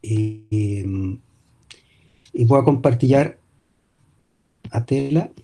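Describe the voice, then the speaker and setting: Mellow-voiced Chilean man lecturing. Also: medium pitch, very slow. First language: Spanish. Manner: hesitant, many fillers and restarts, Spanish-tinged phrasing. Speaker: guest lecturer. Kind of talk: online academic presentation. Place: home, video call. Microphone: video call.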